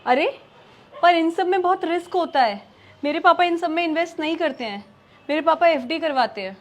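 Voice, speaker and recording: Young Indian wife, played by an actress, high voice, proud, easily stung, speaks her mind in domestic drama. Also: fairly quick. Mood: indignant. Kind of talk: scripted domestic drama acting in Hindi with some English.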